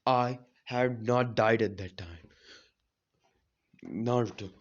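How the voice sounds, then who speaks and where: A man voicing a smug old male ninja ghost, speaking in a measured tone. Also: medium pitch, dull audio.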